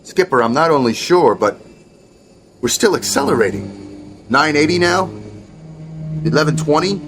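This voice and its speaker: An actor playing a diligent, alarmed flight navigator in a radio drama. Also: male voice, a little high, animated, measured pace, a bit bright, a little hiss or hum. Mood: alarmed, incredulous.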